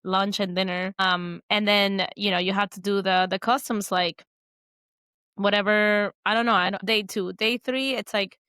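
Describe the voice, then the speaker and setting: Woman, podcast co-host, casual chat, filler-heavy, mid pitch, dry sound. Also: fairly even intonation, moderate pace, pushed and bright, clear, resonant tone, no room sound. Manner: chatty, many fillers and restarts.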